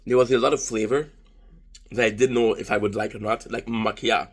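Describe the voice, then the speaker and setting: A man's voice: fairly low pitch, steady tone, a little fast, breathy edge. A man in his thirties from Canada with a French Canadian accent, chatting casually and rambling through a food-tasting vlog with profanity and self-deprecating jokes. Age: 30s. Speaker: vlogger.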